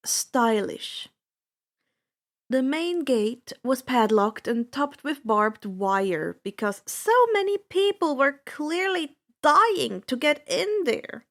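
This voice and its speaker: A woman narrates a story in a fairly high voice with very lively intonation. She takes her time, reading like an amateur audiobook host with a theatrical streak. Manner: theatrical, acts out dialogue. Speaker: host reading fan fiction aloud on own channel.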